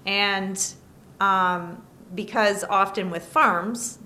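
A woman speaks as a lawyer guest, seated at a studio table. She answers in a measured way and works through her points as lists. Her voice sits in an ordinary mid range. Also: mid-range voice, steady tone, pushed and bright, a little hiss or hum. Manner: measured, explains by listing points. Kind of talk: interview answers.

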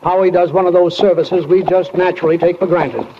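A man's voice: sits high, steady tone, a little fast, a little hiss or hum. A man playing a folksy, wryly philosophical small-town narrator in 1940s radio style, speaking plainly and straight to the listener. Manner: homespun, plain-spoken, addresses listeners directly. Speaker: narrator of a play. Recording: muffled old 1940s radio recording.

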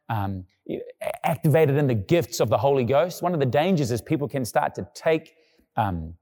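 Man, pastor, conversational sermon, mid pitch, long hall reverb. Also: darkish tone. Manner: conversational preaching, acting out quoted voices in a low murmur.

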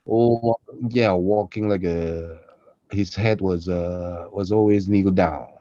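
A man chatting casually as a co-host in halting, short phrases, on a muffled recording. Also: sits low, fairly even intonation, dark tone, no echo. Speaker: podcast co-host and voice actor. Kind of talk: casual chat. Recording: dull, muffled lo-fi sound.

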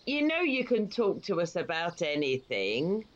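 A woman's voice: lowish voice, sing-song, unhurried, muffled recording. A woman acting a middle-aged British mother in an audio play, loving and accepting but easily exasperated, with an emphatic motherly manner. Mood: fond.

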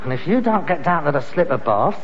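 An actor playing an exasperated, nagging grown son in a sitcom, scolding sarcastically in a working-class London accent. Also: male voice, on the high side, sing-song, fairly quick, fairly bright tone. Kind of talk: British sitcom acting before an audience. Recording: dull, reverberant, noisy archive recording.

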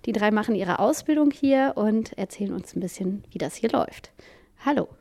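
A woman's voice: medium pitch, expressive, a little fast, darkish tone. A woman hosting a podcast, asking friendly follow-up questions. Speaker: podcast host. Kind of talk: podcast interview.

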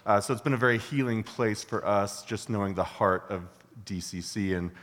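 Guy volunteer at church, low voice, lots of uhs, echoey hall. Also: normal speed, a bit bright. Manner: hesitant, filler-heavy. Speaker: nonprofit volunteer guest. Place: church sanctuary. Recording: long hall reverb.

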